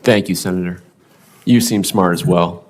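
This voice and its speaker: A man's voice: low voice, normal speed. A man testifying as a witness in a conversational but challenging manner, often answering with questions. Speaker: hearing witness. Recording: clear background noise.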